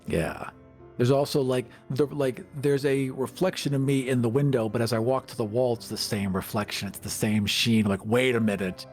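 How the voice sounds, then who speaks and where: A man's voice: medium pitch, steady tone, normal speed, darkish tone, faint music behind. A man chatting casually as a player in a tabletop roleplaying game, describing his character's moves.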